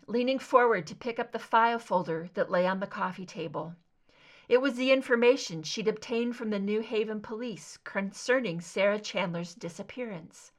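Lady reading a story out loud, mid-range voice, amateur audiobook narration. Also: medium pitch. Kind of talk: scripted fiction narration.

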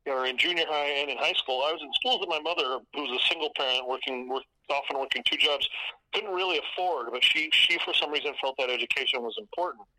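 A man in his fifties from California, telling stories from his youth in a relaxed conversation, measured and reflective, often restarting his sentences. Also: mid-range voice, rough recording. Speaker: guest. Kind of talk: casual conversation.

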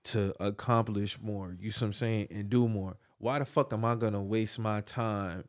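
A man's voice: lowish voice, steady tone, moderate pace. A man, talking in casual podcast chat. He speaks emphatically and keeps checking in with his listener. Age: 30s. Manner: emphatic, repeats "you get what I'm saying". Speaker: podcast co-host.

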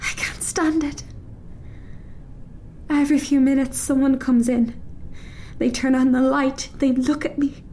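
A woman's voice: high-pitched, little pitch movement, unhurried, long pauses, warm tone, a little hiss or hum. A woman playing a distraught, sleepless teenage girl held in a prison cell, in a naturalistic radio drama. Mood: anguished despair. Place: small dark drying cupboard in an art centre.

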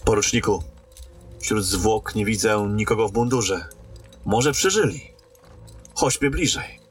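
Fantasy radio play: male actor as a dutiful captain, cautious, formal and measured. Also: lowish voice, faint music behind, natural voice. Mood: grave, watchful. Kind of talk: fantasy radio drama acting.

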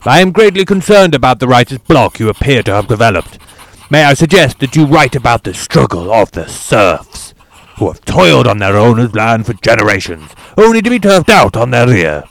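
A man doing an exasperated theatre director. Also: medium pitch.

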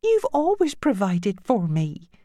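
A woman's voice: mid-range voice, wildly swooping pitch, slow, dark tone, no echo, clean studio sound, pitched above the performer's own voice. A woman voicing a frightened, submissive woman in an audiobook, timid and pleading as she tries to placate. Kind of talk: audiobook character acting.

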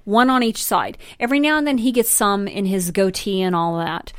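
A woman's voice: medium pitch, fairly even intonation, moderate pace, clean tone, no echo. A middle-aged woman from Colorado, a podcast guest, chatting loosely and candidly after a drink. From Colorado, USA. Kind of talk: casual chat.

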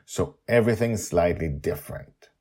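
A man's voice: deep voice, lively intonation, normal speed, dark tone, husky. A man voicing a fond, reassuring young man in an adventure story. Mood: explaining.

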